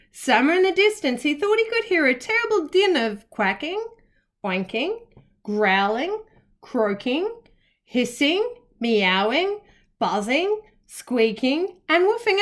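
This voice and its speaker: A woman reads a children's picture book aloud. Her voice is high and very animated, and she phrases the story carefully and expressively for young listeners.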